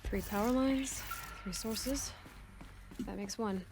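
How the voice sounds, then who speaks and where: Woman acting a hushed young explorer, noisy backdrop. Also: medium pitch, takes it slowly, close to an everyday voice. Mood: focused, musing. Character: young woman explorer, observant, self-reliant, musing quietly. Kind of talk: video game voice acting, talking to herself. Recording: game audio with background noise.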